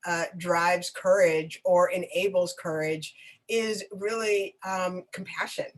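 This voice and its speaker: A woman interviewing a guest in a live online conversation, speaking haltingly with many pauses and restarts and warm encouragement, heard over a video call. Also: fairly low pitch, steady tone. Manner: effusive and encouraging. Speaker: interviewer for a nonprofit network.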